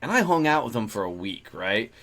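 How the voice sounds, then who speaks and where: A middle-aged man talks business in a loose, conversational way. He is blunt and swears without a second thought, and his voice sits in an ordinary middle pitch. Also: big pitch swings, a little fast, fairly bright tone. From Texas, USA. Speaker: podcast host. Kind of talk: casual conversation.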